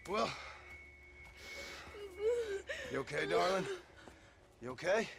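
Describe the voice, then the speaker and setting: An actor playing a rugged, protective middle-aged rancher in a video game, with a rough American Western drawl and dry, clipped folksy talk. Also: male voice, a little high, animated, moderate pace. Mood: urgent, protective. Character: rugged, gruff frontier rancher, protective husband and father. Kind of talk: video game cutscene acting. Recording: game scene with loud ambient noise.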